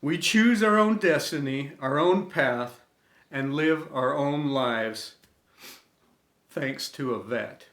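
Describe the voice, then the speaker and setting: A middle-aged American man reading a scripted inspirational tribute in an earnest, reverent way, with deliberate phrasing and dramatic pauses. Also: medium pitch, a bit bright. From United States. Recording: echoey room sound.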